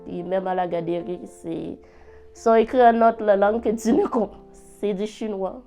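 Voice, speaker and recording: A woman whose first language is not French, an adult literacy learner giving a calm, plainspoken personal testimony. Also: mid-range voice, a little slow, soft background music. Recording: dull lo-fi recording.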